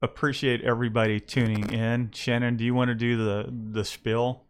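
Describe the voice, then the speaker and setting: A man's voice: mid-range voice, little pitch movement, moderate pace, clean tone. A man hosting a casual livestream about his screen-printing shop, talking in a rambling way with many restarts and fillers.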